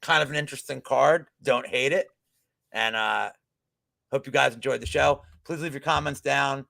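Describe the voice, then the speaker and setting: A man in his forties hosts a casual betting podcast with a gravelly, bright voice. He rambles loosely and jokes along as he goes. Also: mid-range voice, normal speed. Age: 40s. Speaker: podcast host.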